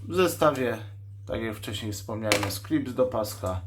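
A man hosting an unscripted product demonstration, explaining a device's specs in a matter-of-fact, technical way and pausing mid-sentence while he handles it. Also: fairly low pitch, animated, a little hiss or hum. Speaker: radio shop host reviewing a walkie-talkie.

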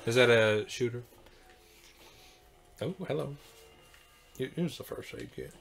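A man's voice: mid-range voice, measured pace, creaky, faint music behind. An American man co-hosting a game livestream with casual, crude, joking banter. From United States. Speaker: game streamer co-host.

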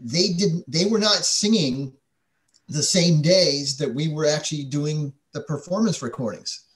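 A man's voice: on the high side, unhurried. An older man explaining things plainly in a panel talk over a video call. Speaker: theater panelist. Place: indoors at home, on a video call. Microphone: computer mic on a video call.

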